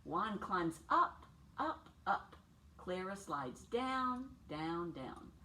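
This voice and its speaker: Woman in her sixties, children's read-aloud, expressive swooping pitch, simple deliberate phrasing, questions to kids, slightly distant mic with faint noise. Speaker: children's storytime teacher reading a picture book aloud.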